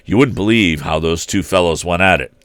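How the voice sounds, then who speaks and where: Low voiced guy reading a classic story out loud, theatrical, really dry close sound. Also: brisk, smooth, no echo. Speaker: storyteller, livestream host. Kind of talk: expressive read-aloud storybook narration.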